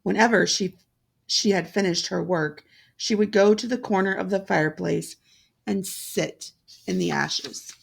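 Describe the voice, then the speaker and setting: Slow, creaky-voiced woman storyteller. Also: medium pitch. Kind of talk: scripted fairytale narration.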